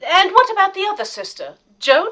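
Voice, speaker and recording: Woman playing chatty eager detective's sidekick in an old-time radio play, high lively voice, gossipy. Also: fairly quick, heavily acted. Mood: curious, gossipy. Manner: inquisitive, deferential, gossipy. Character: eager, chatty, admiring female detective's assistant. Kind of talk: period radio drama acting.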